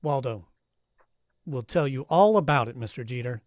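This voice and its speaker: A man's voice: medium pitch, animated, normal speed, no room sound, lightly acted. A man playing an unflappable, wisecracking private detective who also narrates in a first-person noir radio style. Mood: brisk. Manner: dry, wisecracking.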